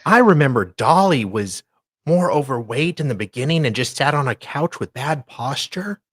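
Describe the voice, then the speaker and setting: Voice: mid-range voice, animated, normal speed, no room sound, clean studio sound. A co-host chatting casually and reading screenshots aloud, with sarcastic asides. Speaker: co-host doing dramatic readings.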